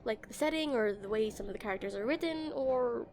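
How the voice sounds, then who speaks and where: A woman's voice: on the high side, expressive, brisk, darkish tone. A woman playing an awkward, shy female game developer on a dinner date in a romantic audio roleplay, flustered and hesitant. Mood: nervous, curious. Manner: flustered, hesitant, stammering, giggly.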